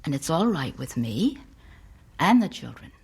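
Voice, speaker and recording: An actress playing a supportive 19th-century wife, gentle, knowing and breathy, in a radio drama. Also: female voice, sits low, very animated, a little slow, full character voice. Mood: tender, teasing.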